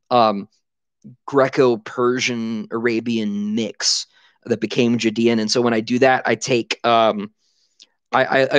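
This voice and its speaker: A man in his thirties answering viewer questions in a casual livestream from a small room at home, on a headset mic, animated and full of fillers and restarts. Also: medium pitch, dry recording. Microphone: headset boom mic.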